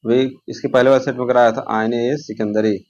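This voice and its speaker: A man's voice: mid-range voice, flat delivery, a little fast, darkish tone, highs cut off. A man teaching an exam lesson, posing questions, answering them himself and repeating key terms.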